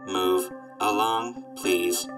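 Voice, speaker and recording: A man voicing a gloomy, glitch-prone robot weather announcer with a flat, mechanical, formal delivery in a radio drama. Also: low-pitched, drawn-out words, music in the background. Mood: monotone, curt.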